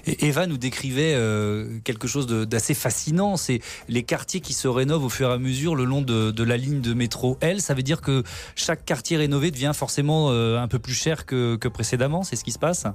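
A man's voice: medium pitch, fairly quick. A man co-hosting a radio show, asking questions and thanking guests in a friendly, jokey way. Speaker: radio co-host.

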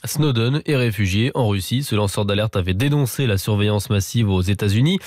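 A man reading a morning radio newscast, brisk and with some hesitations and restarts between items. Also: lowish voice, a little mellow, dry recording, clean studio sound. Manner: brisk, with frequent fillers and restarts. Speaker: news anchor. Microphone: studio microphone.

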